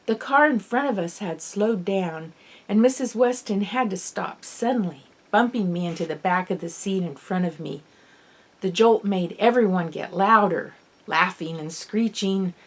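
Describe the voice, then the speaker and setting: A woman author reading her own children's novel aloud in the first person, as expressive, dramatic storytelling. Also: mid-range voice, moderate pace. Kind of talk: audiobook narration of children's fiction, first person.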